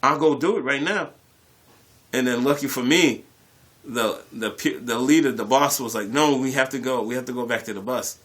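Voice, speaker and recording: A man with a mid-pitched voice tells a story in a casual, conversational way. He is animated and expressive, repeating short phrases and slipping into bits of acted-out dialogue as he addresses his online viewers. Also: normal speed. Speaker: YouTube storyteller. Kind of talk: casual personal storytelling to camera.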